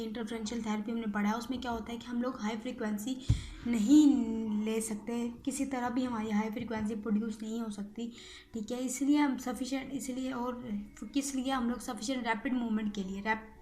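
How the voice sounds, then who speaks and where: A woman's voice: fairly high pitch, darkish tone, a little hiss or hum. A woman giving an informal explanatory lecture, repeating key phrases and often checking in with short tag words. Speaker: physiotherapy lecturer.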